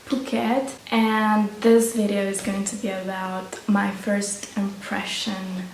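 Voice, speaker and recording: A woman's voice: medium pitch, flat delivery, slow. A young woman with a Romanian accent, chatting casually to camera in a rambling, hesitant way as a vlogger. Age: young adult. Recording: roomy echo, distant mic, faint noise.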